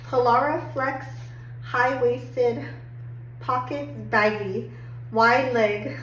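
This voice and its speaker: Hoarse woman chatting in an echoey room. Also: fairly high pitch, steady tone, extremely slow, a bit bright. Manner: chatty, upbeat, many "like"s. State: hoarse, getting over a cold. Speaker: fashion haul vlogger. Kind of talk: casual try-on review. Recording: echoey room, distant mic, faint hum.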